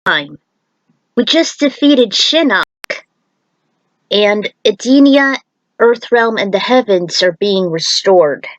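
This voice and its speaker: A woman's voice: mid-range voice, lively intonation, slow pace, long pauses, no room sound, highs cut off. A woman reading a fan-fiction story aloud as an amateur narrator, occasionally stumbling and repeating words.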